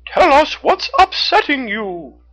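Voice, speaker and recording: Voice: sits high, big pitch swings, slow, a bit bright, pitched above the performer's own voice, heavily acted, poor audio. A narrator voicing a proud storybook raccoon who speaks in an eager, direct and emphatic way. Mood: insistent.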